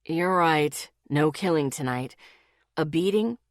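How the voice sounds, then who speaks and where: A husky young boyfriend who grumbles and teases in a low, gruff voice, as a woman reader drops her voice to play him. The audiobook read is dry and close, with no room sound. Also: takes it slowly, no room sound. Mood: teasing. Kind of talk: audiobook character voice.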